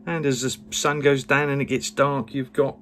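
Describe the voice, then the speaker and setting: A man's voice: mid-range voice, little pitch movement, moderate pace, a bit bright, soft background music. A man chats casually, rambling along with frequent ums as he shows viewers around. He sits in the cramped cabin of a narrowboat, recorded on a small action camera. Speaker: vlogger. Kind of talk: casual tour narration. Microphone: handheld action camera.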